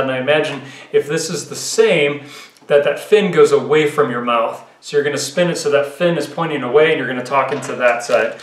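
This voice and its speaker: A man in his thirties giving a casual, explanatory gear commentary, thinking aloud in an informal way. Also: on the high side. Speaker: gear reviewer. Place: indoors, home living room at a table. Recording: roomy echo, clear background noise.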